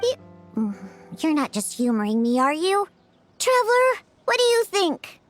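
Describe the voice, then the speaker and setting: A woman's voice: very high-pitched, measured pace, exaggerated voice. A woman voicing an earnest, easily flustered, chatty young girl in a video game, with music behind her. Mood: hesitant. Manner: excitable, chatty, indignant. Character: earnest, flustered, high-voiced girl who mixes drinks. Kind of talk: animated video game voice acting.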